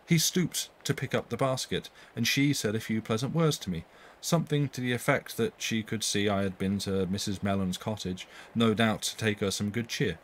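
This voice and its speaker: A man reads the part of a young governess in a storybook. She is earnest and emphatic, quick to contradict. A faint noise sits behind the voice. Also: on the low side, lightly acted.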